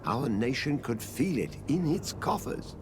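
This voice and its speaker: An actor playing a pompous, calculating official in a video game, speaking in a formal, dismissive manner. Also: male voice, medium pitch, sing-song, a little slow, music in the background. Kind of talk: video game dialogue acting.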